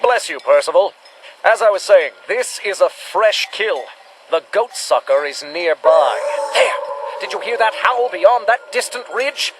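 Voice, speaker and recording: An actor playing a bombastic, self-important but cowardly monster hunter in an old-fashioned radio adventure serial, proclaiming theatrically. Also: male voice, sits high, lively intonation, a little slow, noisy background. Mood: boastful, commanding. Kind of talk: 1940s-style radio adventure serial acting.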